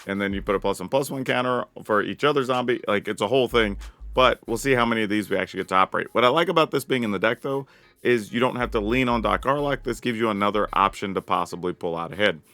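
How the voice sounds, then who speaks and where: A man with a raspy voice giving an upbeat, casual rundown of his card game deck as a channel host. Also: fairly low pitch, fast, bright, forceful tone, no room sound. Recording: dry close mic with faint music.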